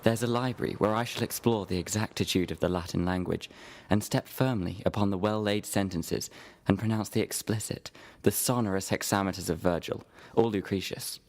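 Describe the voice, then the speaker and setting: Male actor as scornful scholar in a literary audio play, precise and lofty, pitched fairly low. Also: fairly even intonation, brisk, hardly any pauses, a little mellow, close to an everyday voice. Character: scholarly, scornful man devoted to Latin and order. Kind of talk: literary radio drama acting.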